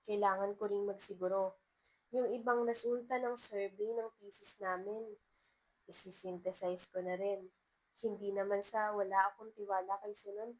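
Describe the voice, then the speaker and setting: A woman narrates a romantic story in the first person. Her voice sits at a middle pitch, and she reads it as a scripted tale told from the main character's side. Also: poor audio.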